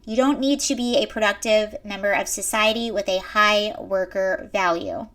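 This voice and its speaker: A young woman with a high-pitched voice giving emphatic commentary straight to her viewers. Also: moderate pace, a bit bright. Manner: emphatic, lecturing, addresses viewers directly. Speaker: commentary host. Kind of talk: reaction commentary to camera.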